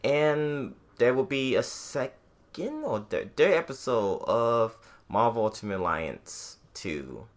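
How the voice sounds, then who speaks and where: A man's voice: medium pitch, big pitch swings, takes it slowly. A man co-hosting a casual gaming podcast, speaking in short, blunt replies. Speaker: podcast co-host. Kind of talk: casual video game chat.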